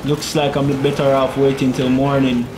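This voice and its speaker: A man's voice: mid-range voice, monotone, normal speed, noisy background. Young man chatting over gameplay on a headset. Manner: chatty, laughing, muttering to himself while driving. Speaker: gaming YouTuber. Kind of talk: casual gameplay commentary. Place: small home room, indoors. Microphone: gaming headset.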